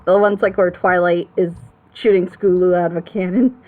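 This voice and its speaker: A woman's voice: lowish voice, steady tone, normal speed, narrow, tinny audio. A woman hosting a group reaction show, chatting casually with friends.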